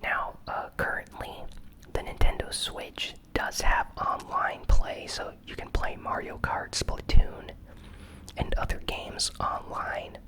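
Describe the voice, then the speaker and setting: A host giving a casual gaming news recap in a soft, unvoiced ASMR whisper, with unhurried, hesitant phrasing.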